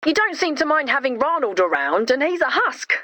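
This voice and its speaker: A woman's voice: sits high, sing-song, brisk, bright, forceful tone, dry recording, exaggerated voice. A woman playing an eager, kindhearted young inventor in a video game, bubbly and theatrical. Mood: earnest, defensive. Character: eager, kindhearted young female inventor, excitable and well-meaning. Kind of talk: animated video game character dialogue.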